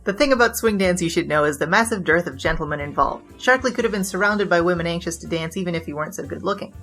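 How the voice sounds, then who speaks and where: A woman's voice: fairly low pitch, quick pace, a bit bright, lightly acted. A woman playing a brash, boastful young bandit king who tells wisecracking, slangy stories in a 1920s noir comedy audio drama, with music behind. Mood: wry storytelling. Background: background music.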